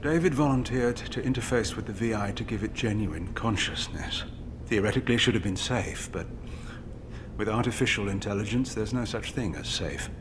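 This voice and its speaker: An actor playing a defensive scientist in a video game, earnest and grave, explaining himself with emphasis. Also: male voice, fairly low pitch, animated, moderate pace, noisy background, close to an everyday voice. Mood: earnest, justifying. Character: defensive male scientist justifying his disastrous experiment. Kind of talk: dramatic video game dialogue acting.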